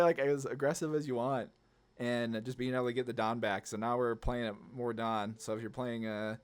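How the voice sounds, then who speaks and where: A man with an average-pitched voice thinks aloud as he commentates a game. His talk is casual, slangy and laid-back, like a video host playing along. Music runs underneath his voice.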